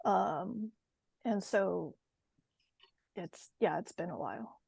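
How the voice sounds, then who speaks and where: Woman from Taiwan, American-Mandarin accent, halting casual speaker with some gravel, close dry mic. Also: mid-range voice, a little mellow, dry recording. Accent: American English with a Mandarin tinge. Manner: hesitant, many ums and self-corrections. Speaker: course participant. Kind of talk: casual group chat on a video call.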